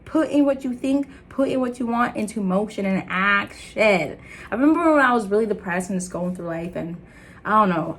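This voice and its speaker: A young woman with an American accent, born in Liberia and raised in the States, talking to camera in an earnest, animated motivational vlog. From Liberia, raised in the United States. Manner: earnest and animated, laughing, jumping into high excited bits. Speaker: content creator. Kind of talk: casual motivational vlog talk.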